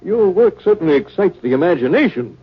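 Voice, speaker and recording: A courteous, authoritative male university dean in a 1950s radio drama. His delivery is formal and probing, with a husky edge. The recording is old and muffled. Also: high-pitched, very animated, brisk, heavily acted. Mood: intrigued, cordial.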